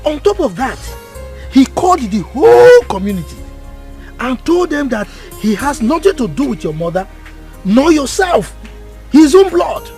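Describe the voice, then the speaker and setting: An actor playing a protective uncle who scolds loudly and stammers when angry. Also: male voice, sits high, very animated, normal speed, long pauses, soft background music. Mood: scolding.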